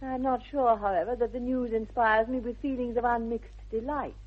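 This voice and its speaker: A woman's voice: a little high, steady tone, normal speed, lightly acted. An actress playing a haughty, self-assured young aristocratic lady with an upper-class English accent and affected, precise politeness, in a 1960s radio comedy of manners. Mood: haughty, candid. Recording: dull vintage 1960s radio recording.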